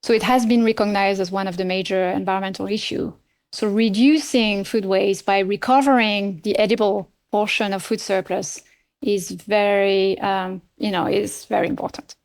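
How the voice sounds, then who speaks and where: Middle-aged woman, native French speaker, French accent; podcast guest, measured and thoughtful with hesitations. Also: mid-range voice, steady tone, darkish tone. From France. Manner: measured, many fillers and restarts. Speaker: nonprofit CEO, guest. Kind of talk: podcast interview.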